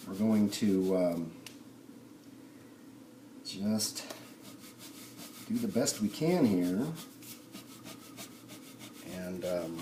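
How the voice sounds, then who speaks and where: A man thinking aloud in an unhurried way as he gives a casual hands-on tutorial at a workbench. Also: low voice, dark tone. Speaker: hobbyist demonstrating a restoration. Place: indoor home workshop. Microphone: camera on the workbench, speaker off-screen. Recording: noisy camera audio, background noise.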